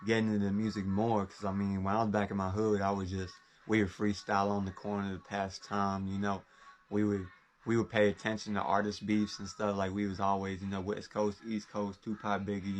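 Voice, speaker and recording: A young man from talks in a low, even voice that barely rises or falls. He rambles casually and drops plenty of street slang as he speaks about himself. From Orlando, Florida. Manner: laid-back street slang, loose and rambling. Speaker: rapper. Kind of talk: casual first-person talk.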